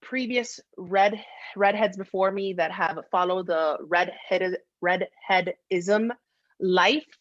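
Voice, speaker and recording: A woman's voice: mid-range voice, slow pace, no room sound. A woman co-hosting a podcast with casual comedic banter, playfully mock-dramatic. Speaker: podcast co-host. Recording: dull, dry audio.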